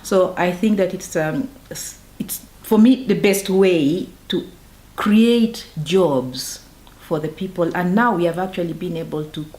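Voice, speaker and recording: A woman from northeastern Uganda, speaking, who founded a farming project and talks in an earnest, measured way in a documentary interview. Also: lowish voice, a little mellow. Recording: echoey room, faint background noise.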